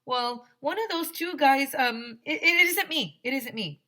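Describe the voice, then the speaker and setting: A woman's voice: sits high, expressive, unhurried, pushed and bright, voice pushed up, full character voice. A woman voicing a young schoolboy in a storybook, nervously stammering through excuses. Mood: nervous, evasive. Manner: stammering, hesitant, improvising excuses. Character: young schoolboy nervously fibbing to his principal. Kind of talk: children's chapter book read-aloud.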